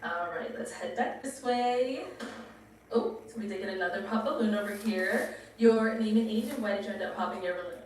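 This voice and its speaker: Female game show host, informal prompts and questions, medium pitch, strongly reverberant with faint noise. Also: a little fast. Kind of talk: casual, directive show hosting with questions. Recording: echoey, distant, faint noise.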